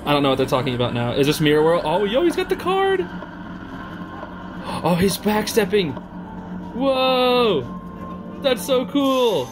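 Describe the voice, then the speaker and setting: A man giving casual, slangy live reaction commentary in an excitable, giggly, exclaiming manner. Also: high voice, a little slow, long pauses, over music. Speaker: host of a reaction video.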